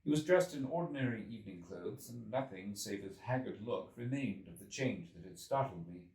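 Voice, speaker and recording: A man's voice: fairly low pitch, animated, normal speed, a little mellow. A man reading a literary story aloud as scripted narration, in a dramatic storytelling manner. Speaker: audiobook reader. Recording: roomy echo, distant from mic.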